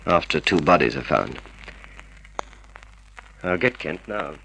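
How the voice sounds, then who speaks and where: A man's voice: a bass voice, expressive, takes it slowly, bright, forceful tone, slight background noise, full character voice, low-quality recording. An Australian actor playing a cold, controlled young man plotting murder with his lover, in a mid-century radio drama style. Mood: grimly intent. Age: young adult. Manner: controlled, clipped, commanding.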